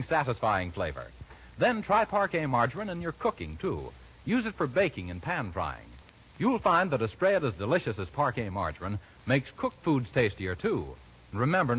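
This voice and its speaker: A man's voice: mid-range voice, breathy edge. A man announcing in 1940s radio commercial style, emphatic and persuasive. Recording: muffled 1940s radio broadcast.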